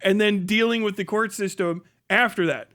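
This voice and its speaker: A man's voice: high voice, steady tone, normal speed, a bit bright. A man giving casual livestream commentary in a blunt, profane, rambling way. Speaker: livestream host.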